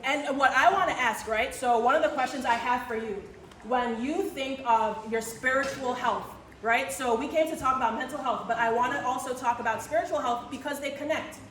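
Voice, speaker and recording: A woman's voice: high voice, moderate pace, a bit bright. A woman in her thirties, from Barbados, giving an earnest talk to a church audience and asking them questions. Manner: earnest, restarts phrases, asks the audience questions. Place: church in the Caribbean. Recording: echoey church room from far off, faint noise.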